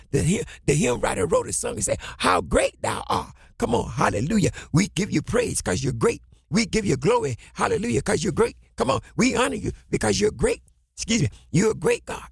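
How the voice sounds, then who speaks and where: Raspy, high-pitched man preaching fervently. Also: huge pitch swings, normal speed, no room sound, studio quality. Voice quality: raspy, gravelly. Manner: impassioned, repetitive call-and-response phrasing, swinging into shouts. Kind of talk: fervent exhorting sermon.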